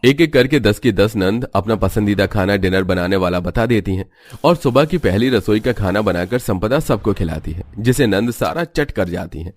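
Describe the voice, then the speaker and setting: A man's voice: lowish voice, no echo. A man giving scripted narration for a cartoon family story as its narrator.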